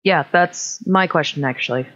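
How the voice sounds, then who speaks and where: A woman's voice: low-pitched, normal speed, fairly bright tone, no room sound, muffled recording. A woman giving casual let's play commentary as a gaming streamer on a headset.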